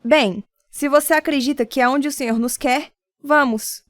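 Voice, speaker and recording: An actress playing a gentle, supportive missionary wife in a radio drama. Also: female voice, high voice, steady tone, moderate pace, dry recording, studio quality, soft background music, lightly acted. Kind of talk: scripted radio drama dialogue. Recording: clear background ambience noise.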